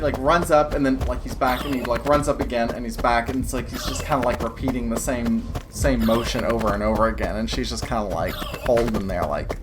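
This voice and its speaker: Rambling woman game master over music. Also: extremely low pitch. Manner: rambling, filler-heavy narration with frequent laughs. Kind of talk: narrating a tabletop game.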